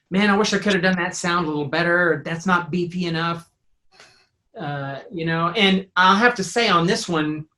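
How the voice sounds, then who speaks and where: Bright-voiced, higher-pitched man, rambling enthusiastically. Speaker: sound designer and composer; teacher. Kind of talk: casual interview talk.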